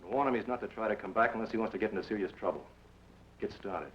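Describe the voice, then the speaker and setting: A man's voice: on the low side, quick pace, natural voice. An actor playing a stern male outlaw boss, giving curt, menacing orders in an old-time radio drama. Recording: muffled, noisy old radio recording.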